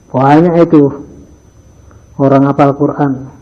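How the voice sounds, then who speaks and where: A man's voice: mid-range voice, steady tone, a little slow. An older man teaching boarding school students in a morning religious lesson, slipping phrases into his talk and acting out little dialogues. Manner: mixes in Javanese phrases, acts out dialogues in his stories. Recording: dull lo-fi archive recording.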